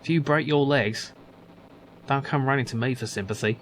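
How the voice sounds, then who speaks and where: A man voicing a gruff, grumpy older male factory worker, grumbling and dismissive. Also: mid-range voice, normal speed, a little hiss or hum, close to an everyday voice. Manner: grumbling, dismissive, calls others son.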